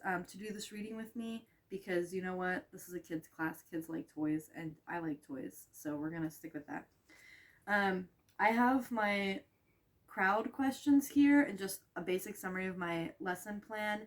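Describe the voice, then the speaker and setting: A young woman from Russia with Russian as her first language walks through her lesson plan, chatty and loose, full of ums and small restarts. She sounds a bit worn out and low on energy. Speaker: student teacher presenting a reading lesson. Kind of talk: casual explanation to camera.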